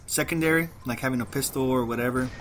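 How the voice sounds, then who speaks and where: A man's voice: mid-range voice, fast talker, a bit bright. A man with a light Mexican accent, rambling through casual gameplay commentary and laughing at himself. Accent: light Mexican Spanish. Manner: rambling, self-deprecating, frequent restarts and laughs. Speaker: gaming commentator.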